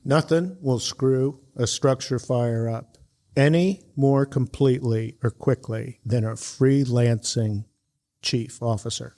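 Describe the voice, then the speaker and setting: A man with a creaky, gravelly voice of medium pitch co-hosts a podcast. He talks casually as he analyzes and comments. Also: slow pace, warm tone. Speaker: podcast co-host.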